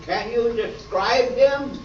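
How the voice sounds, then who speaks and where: Slow deadpan detective man acting, procedural radio play, muffled, distant recording. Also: extremely high pitch. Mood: deadpan, businesslike. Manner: clipped, deliberate, alliterative police-procedural delivery. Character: deadpan male police sergeant narrating his case. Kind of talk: amateur stage radio play. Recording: echoey, distant, muffled room recording, faint noise.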